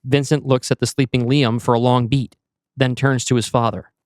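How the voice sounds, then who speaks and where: A man narrating a scripted screenplay in the present tense, with measured, descriptive storytelling. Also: medium pitch, steady tone, darkish tone, no echo, clean studio sound. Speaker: narrator and host.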